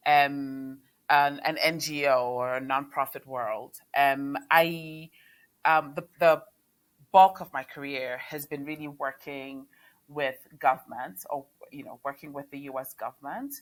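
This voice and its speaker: A woman from Kenya answers interview questions over a remote call. She speaks slowly in a low voice, pausing often with ums and restarting her sentences as she thinks. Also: a bit bright. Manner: hesitant, many ums and restarts. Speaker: guest, health organization chief of staff.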